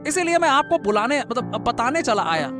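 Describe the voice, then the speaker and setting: A high-voiced, chatty fisherman from a folk-tale audio drama, acted by a man. He is humble and excitable, doubling up his words as he goes. Soft music sits faintly behind him. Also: a little fast. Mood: deferential, urgent. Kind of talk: Hindi moral-story audio drama acting.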